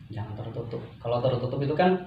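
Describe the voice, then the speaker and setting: A young man acting as a counsellor in conversation, asking and encouraging in a halting way, searching for words. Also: mid-range voice, expressive, creaky. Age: young adult. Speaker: counsellor in a practice session. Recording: echoey room, distant mic, muffled, noisy.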